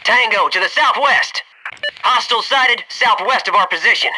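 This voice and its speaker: A man's voice: high voice, moderate pace, noisy background, close to an everyday voice. A male actor playing a loyal, wisecracking special forces soldier in a military shooter game, speaking in clipped military phrases. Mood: businesslike.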